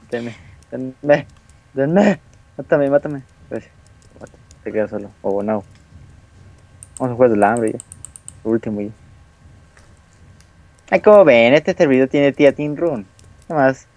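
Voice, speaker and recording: A man's voice: medium pitch, animated, slow pace, long pauses, darkish tone, dry recording, dull audio. A young man chatting playfully while playing a video game, teasing and laughing a lot. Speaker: gamer. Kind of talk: casual chat while gaming.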